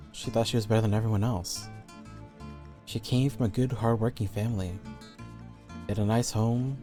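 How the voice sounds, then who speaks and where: A man reading scripted horror stories as the narrator. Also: fairly low pitch.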